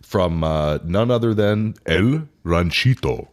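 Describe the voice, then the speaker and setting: A man with a low voice talks casually as a podcast co-host. He sounds enthusiastic and leans on slang, keeping the chat loose and informal. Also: animated, slow pace.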